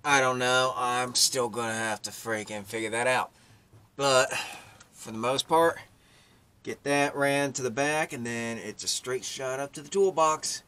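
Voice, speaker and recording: A man's voice: medium pitch, fairly even intonation, a little slow, sharp tone. A middle-aged man giving a casual how-to narration into a handheld camera outdoors. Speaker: vlogger showing his truck project. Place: outdoors by a vehicle in a residential yard. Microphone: handheld camera mic at arm's length. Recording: clearly noisy handheld outdoor recording, clicks.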